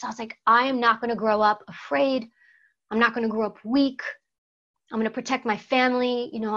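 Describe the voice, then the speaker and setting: New woman in her 30s, earnest interview guest, kinda high voice, a bit dull lo-fi audio. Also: a bit bright. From United States. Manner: earnest, emphatic, repeats words for stress. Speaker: guest, former secret service agent. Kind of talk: conversational interview storytelling. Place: plain studio backdrop. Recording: slightly dull lo-fi sound.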